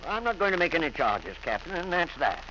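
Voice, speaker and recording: An earnest forgiving fella, pleading, lively highish voice, old muffled radio show. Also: male voice, fairly quick. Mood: earnest, insistent. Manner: insistent, pleading. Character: earnest, forgiving accident victim. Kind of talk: 1940s radio drama acting. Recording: muffled, faintly noisy vintage radio recording.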